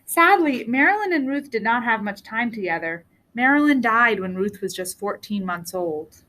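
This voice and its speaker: A woman reading a children's book aloud as a storyteller, with deliberate, expressive phrasing. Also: fairly high pitch, rough recording. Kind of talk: read-aloud storybook narration.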